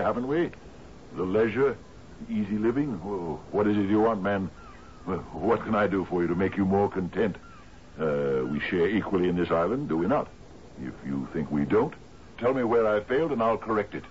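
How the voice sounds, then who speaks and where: An actor in a radio drama playing an anxious, genial older island captain, halting and stammering as he pleads. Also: sits low, lively intonation. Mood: troubled, pleading. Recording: muffled, hissy old radio broadcast.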